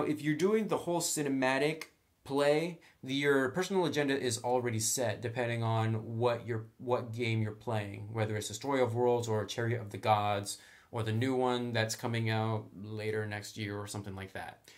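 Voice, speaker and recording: A male tutorial host with a medium-pitched voice talks through tabletop game rules. He explains each step in a casual, conversational manner, sometimes reading from the book. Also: steady tone, moderate pace.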